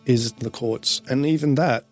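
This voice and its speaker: A man's voice: medium pitch, soft background music. Earnest Australian man telling his story. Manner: emphatic, halting with restarts. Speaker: interview guest. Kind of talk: earnest personal testimony.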